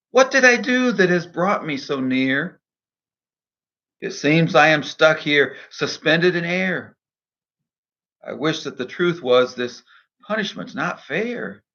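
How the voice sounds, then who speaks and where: A man speaking in a measured, earnest, deliberate way, in the style of a devotional poetry reading and reflection. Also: on the high side, bright, forceful tone, muffled recording. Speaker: minister and poet reading own poem.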